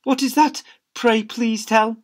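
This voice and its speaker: A cloyingly sweet storybook mummy, played by a man, high in pitch. She coos in baby talk, overflowing with adoration. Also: fairly even intonation, slow. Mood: adoring. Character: doting, over-emotional mother teacher, cloyingly sweet.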